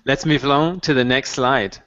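A man's voice: mid-range voice, fairly even intonation, a bit bright. Patient man teaching over headset. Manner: clear, patient, encouraging, models phrases slowly. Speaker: English teacher. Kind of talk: online mini-lesson, modeling phrases for students. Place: small room at home, video call. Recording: dull, dry video-call audio.

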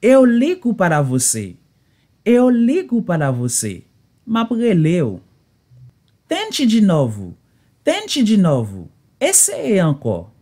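Woman language teacher, Haitian Creole native, slow deliberate drills, very lively pitch. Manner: slow and deliberate, says each phrase twice then translates. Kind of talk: slow phrase drills, repeating each phrase.

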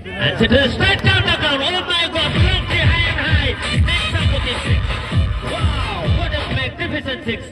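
A man with an Assamese accent giving live cricket commentary at an outdoor night match, growing excitable and shouting on big hits. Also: very high-pitched, moderate pace, over loud music. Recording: distant loudspeaker voice over crowd noise. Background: large crowd.

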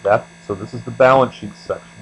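A man giving an explanatory screencast lecture as an instructor, speaking deliberately and letting the ends of phrases trail off. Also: mid-range voice, low-quality recording. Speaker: accounting instructor. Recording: steady mains hum behind voice.

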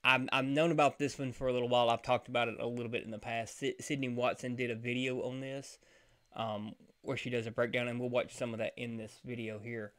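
A man's voice: mid-range voice, level tone, brisk, fairly bright tone. A man talks casually over clips he is reacting to. He speaks bluntly, with open irritation, in a mid-range voice. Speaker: commentary host.

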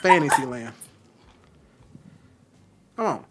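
A man hosting a casual video-call chat, teasing and blunt in an animated way. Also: mid-range voice, slow, noisy background. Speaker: commentary channel host.

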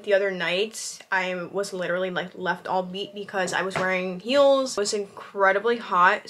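A woman's voice: mid-range voice, fairly bright tone. A young woman in her twenties chatting casually to camera in her bedroom, bubbly and full of likes and ums. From New Jersey. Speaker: vlogger. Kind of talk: casual vlog chat to camera. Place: bedroom at home, indoors.

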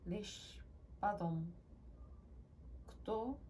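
Young woman, Korean accent, very slow halting reading, ASMR practice, faint room hum. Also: mid-range voice, dark tone. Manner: careful, halting word-by-word reading. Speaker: ASMR creator. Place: home room at a desk.

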